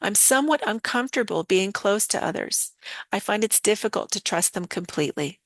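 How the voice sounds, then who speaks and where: A woman with a slightly hoarse voice, calmly explaining ideas and speaking straight to her viewers in a live online lesson. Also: medium pitch, brisk, no echo. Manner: calm, explanatory, addresses viewers directly. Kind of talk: teaching a live online session.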